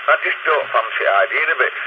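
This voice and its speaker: A man's voice: medium pitch, animated, fast. A man with a Northern English accent chatting casually and bluntly over a CB radio, swearing freely and giving his opinions. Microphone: CB radio handset. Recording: tinny CB radio, heavy noise.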